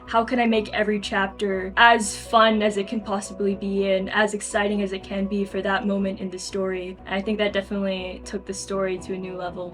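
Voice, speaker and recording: A woman's voice: mid-range voice, monotone, fairly quick, few pauses, soft background music. A woman speaking as an author being interviewed, telling reflective stories in an enthusiastic, earnest, conversational way. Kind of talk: reflective storytelling in a casual interview.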